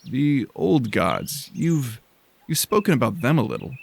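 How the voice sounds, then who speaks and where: A man's voice: medium pitch, sing-song, unhurried, gravelly, slightly rough, pitched above the performer's own voice, heavily acted. Man acting John, an emphatic, questioning lost explorer. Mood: probing, hesitant. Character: John, frustrated, inquisitive lost male explorer.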